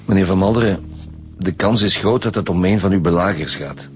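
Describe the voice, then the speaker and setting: An actor playing a sober, suspicious lead detective with a Flemish accent, formal and dry, in a radio crime drama. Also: male voice, low-pitched, a little fast, close to an everyday voice. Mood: stern, formal.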